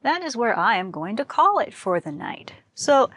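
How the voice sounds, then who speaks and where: A woman storyteller reading a folktale aloud with expressive narration, as for a library audience. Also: on the high side, moderate pace, no room sound.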